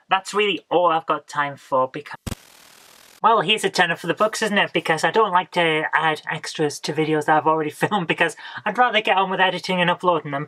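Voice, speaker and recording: A woman, hoarse from a coming cold, hosting a casual retro game haul video. She rambles chattily and often ends with tag questions. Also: low-pitched, fairly bright tone, dry recording, rough recording. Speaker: retro gaming channel host.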